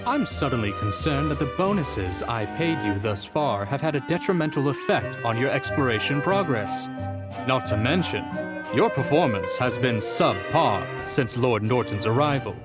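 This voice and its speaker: A man's voice: mid-range voice, expressive, normal speed, natural voice. A man voicing a stiff, formal, impatient aristocrat with a haughty manner in a video game. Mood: coolly impatient. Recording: loud music behind the voice.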